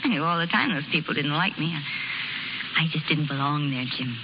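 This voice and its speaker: Sad proud young circus rider, pitch moves a lot, hissy old radio drama. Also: female voice, expressive, normal speed. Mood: sad, resigned. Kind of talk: 1930s radio acting. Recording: muffled, hissy 1930s radio recording.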